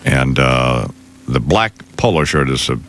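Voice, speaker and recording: A man hosting a morning radio show with loose banter, speaking in a halting, drawling, needling manner. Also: rumbling low, expressive, a bit bright. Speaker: radio talk show host.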